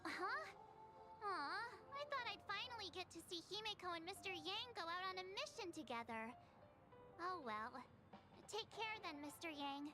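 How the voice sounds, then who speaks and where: A woman's voice: very high voice, expressive, normal speed, music in the background. A woman playing a sincere, bubbly young girl adventurer in a video game. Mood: wistful, sighing.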